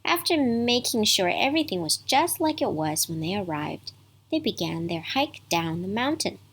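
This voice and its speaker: A woman's voice: mid-range voice, very animated, normal speed, fairly bright tone, breathy edge. A woman narrating a scripted children's bedtime story as the storyteller.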